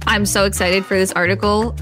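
A woman's voice: mid-range voice, little pitch movement, a little fast, over music. A woman co-hosting a podcast, reading an article aloud with casual commentary. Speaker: podcast co-host.

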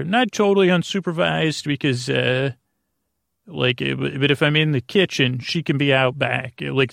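A man hosting a bedtime podcast in a casual, rambling monologue, halting and drifting off into tangents, with a creaky voice. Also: mid-range voice, fairly bright tone, no echo, studio quality. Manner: meandering and halting, trails off into tangents. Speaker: podcast host.

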